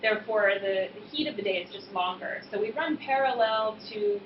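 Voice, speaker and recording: An American woman in her thirties giving an informal, explanatory talk as a winemaker at a tasting, pausing often in a hesitant way. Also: mid-range voice. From Boston suburbs, US East Coast. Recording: echoey room, muffled, noisy background.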